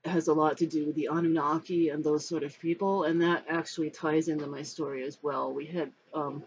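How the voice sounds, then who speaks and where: An American in their fifties from Los Angeles, giving a personal talk to camera in a reflective, halting, rambling manner. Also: mid-range voice, fairly even intonation. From Los Angeles, United States. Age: 50s. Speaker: spiritual storyteller. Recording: dull, muffled sound with background noise.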